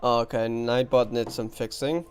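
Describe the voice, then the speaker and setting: A man's voice: mid-range voice, fairly even intonation, moderate pace. A man doing casual live commentary on a game playthrough, chatty and thinking aloud off the cuff. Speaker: game streamer. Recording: game music behind the voice.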